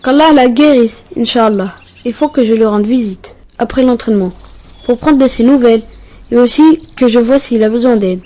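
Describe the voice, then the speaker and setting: A woman's voice: a little high, moderate pace, dark tone, close to an everyday voice. A woman voicing an earnest young cartoon boy. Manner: sincere, pious, questioning. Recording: dull lo-fi cartoon audio.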